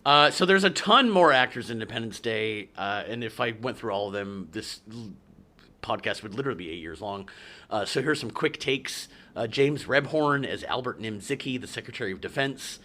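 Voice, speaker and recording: A man's voice: medium pitch, lively intonation, normal speed, bright, forceful tone. A man co-hosting a movie podcast, reading out actor trivia in a chatty way with many fillers. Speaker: podcast co-host. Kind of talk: casual chat about movies.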